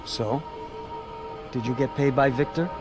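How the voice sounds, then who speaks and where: An actor playing a brisk, probing male ally in a video game, insistent and full of questions. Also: mid-range voice, fairly even intonation, music in the background, close to an everyday voice.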